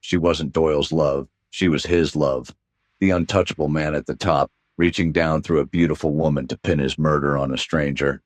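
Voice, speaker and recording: An actor playing a weary, cynical private detective in a noir radio drama, talking in a wry deadpan. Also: male voice, extremely low pitch, moderate pace, no room sound. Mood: brooding, wry.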